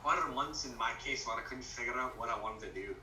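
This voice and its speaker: A young man in casual, halting chat as a guest on a friend's voice-call live stream. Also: medium pitch, a crowd in the background. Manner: rambling, halting, restarts phrases. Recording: echoey room, far from the mic.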